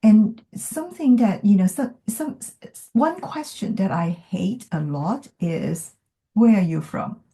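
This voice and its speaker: A woman from Hong Kong, whose first language is not English, speaking in a thoughtful podcast conversation with many pauses and fillers. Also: mid-range voice, lively intonation, dark tone. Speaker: podcast guest. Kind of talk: reflective conversation.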